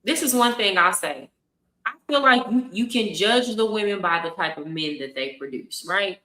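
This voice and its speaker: A woman's voice: medium pitch, animated, normal speed, pushed and bright. A woman talking bluntly and emphatically in a casual opinion chat, with slangy phrasing. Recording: echoey room.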